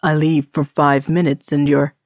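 A woman's voice: sits low, level tone, moderate pace, darkish tone, dry recording, studio quality, voice pushed down. A woman voicing a stern yet slightly amused Old West man, scolding in an exasperated manner, in an audiobook.

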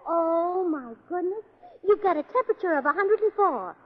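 An actress playing a cheerful, scatterbrained young wife with a bubbly, illogical manner in a 1940s radio comedy. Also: female voice, very high voice, moderate pace. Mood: chirpy. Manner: bubbly, chirpy, deadpan about her own nonsense. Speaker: comic lead. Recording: slightly dull old radio recording.

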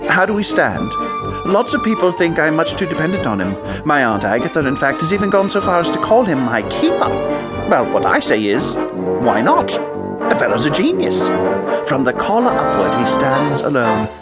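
A foppish young English gentleman of the idle rich for a radio play. His speech is posh, chatty and full of exclamations, with very lively swings in pitch. He sounds breezy yet easily flustered, and music accompanies the recording. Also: moderate pace. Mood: breezy, confiding. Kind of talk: radio comedy acting with first-person narration.

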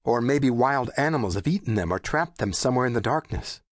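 Actor as agitated, animated Greek ship's captain. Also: male voice, mid-range voice, a little fast, dry recording, clean studio sound, close to an everyday voice. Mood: worried. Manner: agitated, questioning. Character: anxious, hot-headed male Greek ship's captain. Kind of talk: full-cast audiobook acting.

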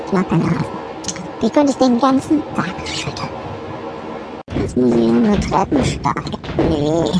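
A woman voicing a hungry, proud young male shepherd dog in a comedy audio sketch, grumbling to himself in an inner monologue with wild swings in pitch. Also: heavily acted, poor audio. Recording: clear background noise.

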